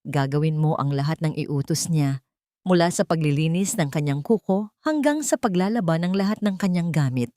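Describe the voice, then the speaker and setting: A domineering older mother from a melodramatic audio story, acted by a woman narrator. She is sly, mocking and commanding, with sharply swinging pitch. The sound is dry and close. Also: lively intonation, normal speed, mellow, no echo. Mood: menacing, commanding.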